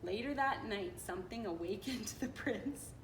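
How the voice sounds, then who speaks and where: A woman reading a children's picture book aloud in an expressive, playful, dramatic way, with little asides. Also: mid-range voice, moderate pace. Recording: roomy echo with clear background noise.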